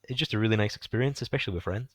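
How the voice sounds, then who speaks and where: Young podcast host, deep, slightly hoarse, loose rambling delivery, dry close sound. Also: male voice, rapid-fire, no room sound. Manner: rambling, filler-heavy, swears casually. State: voice getting hoarse. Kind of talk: casual film chat.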